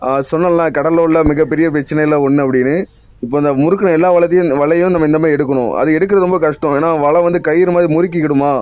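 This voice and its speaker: Fast-talking Tamil-accented fisherman narrating. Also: a little high, fairly even intonation, a little mellow, no echo. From India. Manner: explanatory, repetitive, addresses viewers directly. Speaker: fisherman vlogger. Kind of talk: explaining narration over footage.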